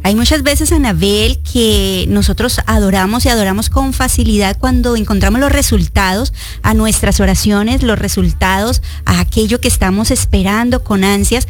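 A woman's voice: mid-range voice, normal speed. Encouraging woman radio host, music behind. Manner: encouraging, affirming, addresses guest and listeners.

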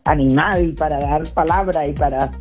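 A woman's voice: low-pitched, normal speed, music in the background. A woman caller from Colombia with a Caribbean coastal Colombian accent, chatting casually and affectionately on a radio show. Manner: effusive, affectionate. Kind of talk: casual chat.

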